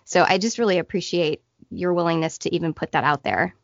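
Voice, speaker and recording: A woman's voice: lowish voice, brisk, no echo. Affirming woman podcast co-host. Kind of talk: podcast interview, conversational. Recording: dull, dry sound.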